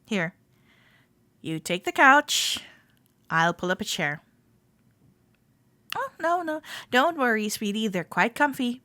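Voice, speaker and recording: A woman playing a friendly, teasing club member giving a tour in a roleplay audio, speaking to the listener in a gentle, reassuring way. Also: fairly high pitch, big pitch swings, slow, pauses often, dry recording, heavily acted.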